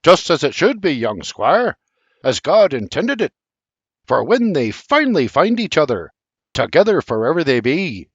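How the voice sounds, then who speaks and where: A man's voice: on the high side, big pitch swings, moderate pace, husky, dry recording, dull audio, heavily acted. A man voicing a fatherly, joking old Scottish nobleman in broad dialect. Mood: hearty. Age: older. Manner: boisterous, teasing, broad dialect.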